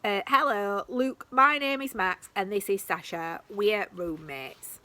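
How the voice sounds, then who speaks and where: A woman's voice: medium pitch, moderate pace, sharp tone. A woman voicing Max, a cautious and thoughtful young boy in a storybook reading. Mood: worried.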